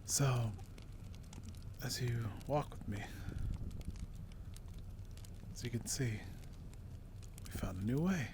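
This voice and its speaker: A man playing a seasoned, wry dungeon explorer in a dark fantasy ASMR roleplay, drawling theatrically and insinuatingly. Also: mid-range voice, measured pace, vocal fry, noisy background. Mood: wry, sly. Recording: roomy echo.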